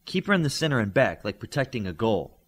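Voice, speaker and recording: Man voicing a brisk young superhero team tactician. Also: fairly low pitch, very animated, husky. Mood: confident, tactical. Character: bossy, analytical child team tactician in superhero story.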